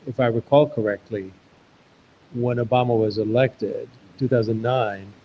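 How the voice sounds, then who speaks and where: A man from Iraq hosts a live onstage conversation, his pitch sitting in the ordinary middle range. He asks questions loosely and thinks aloud, circling back with restarts. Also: a little mellow, a little hiss or hum, low-quality recording. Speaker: host and interviewer.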